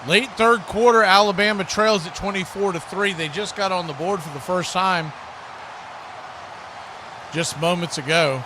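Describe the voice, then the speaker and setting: A high-pitched man announces football play by play. He works in short, punchy phrases. Clear background noise sits behind the live stream sound. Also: normal speed, pauses often.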